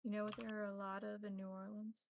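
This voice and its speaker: A woman playing a measured, cautious elder vampire mentor who instructs in formal, deliberate phrasing. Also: medium pitch, flat delivery, creaky, a little hiss or hum, lightly acted. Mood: grave.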